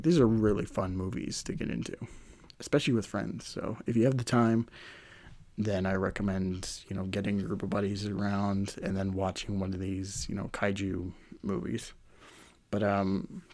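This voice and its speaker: A low-pitched man talks casually as a solo podcast host. His voice is a little nasal, as if from a runny nose, and he rambles conversationally, hesitating as he finds his way through each thought. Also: level tone, moderate pace, a little mellow.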